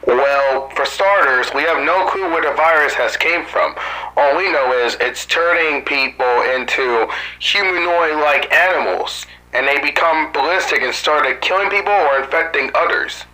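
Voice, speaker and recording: A man playing a wary human guard at a laboratory in an amateur animated drama, with a tense, halting delivery. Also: a little high, rough recording. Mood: grave, explaining.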